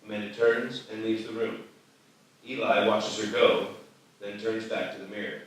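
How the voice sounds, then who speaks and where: A man's voice: lowish voice, level tone, moderate pace, distant mic. A man reading screenplay stage directions as narrator at a live table read. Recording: very echoey room, off the mic.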